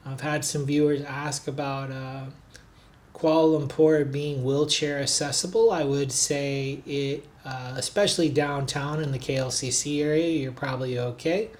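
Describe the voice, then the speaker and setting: An easygoing man talks through a travel vlog in an unhurried, conversational tone at a middle pitch. He drifts along with plenty of small fillers as he shows places off, and his voice-over was recorded on the road in a noisy city. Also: fairly even intonation. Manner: easygoing, chatty, frequent filler words. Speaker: travel vlogger, hotel reviewer. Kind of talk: casual travel vlog narration.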